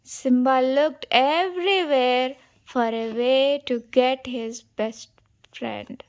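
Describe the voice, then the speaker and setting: A woman reading a children's storybook aloud as an expressive read-along narration. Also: high voice, slow. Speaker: storybook reader.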